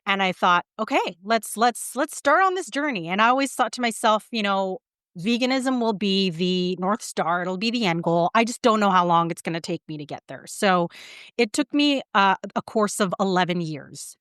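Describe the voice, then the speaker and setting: A woman's voice: mid-range voice, animated, normal speed, a bit bright, clear, resonant tone, dry recording, studio quality. A woman in her thirties telling her story in a casual interview, with many fillers and restarts. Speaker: guest, vegan writer and publicist.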